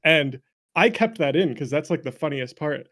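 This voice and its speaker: A man's voice: on the high side, expressive, normal speed, fairly bright tone. Jokey, self-deprecating man chatting casually. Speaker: interview guest; indie game developer and streamer. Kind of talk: casual chat.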